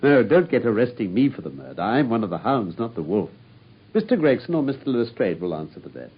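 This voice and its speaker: An actor playing a sharp, brilliant male detective who talks in a brisk, commanding way, in a BBC radio drama. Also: mid-range voice, husky. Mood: probing. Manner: brisk, incisive, commanding, lively intonation. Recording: dull lo-fi old radio broadcast.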